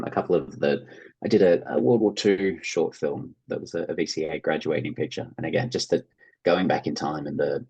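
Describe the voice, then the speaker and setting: An Australian man chatting casually as an interview guest, telling anecdotes in an animated way and acting out quoted remarks. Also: rumbling low, gravelly, husky, rough recording. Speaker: interview guest, actor and writer.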